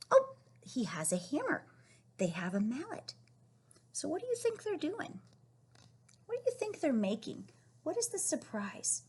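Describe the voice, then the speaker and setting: Animated, high-voiced woman reading to young children. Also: slightly rough. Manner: animated, encouraging, questioning, as if to small children. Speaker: read-aloud storyteller teaching young children. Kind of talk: picture-book read-aloud with questions to children.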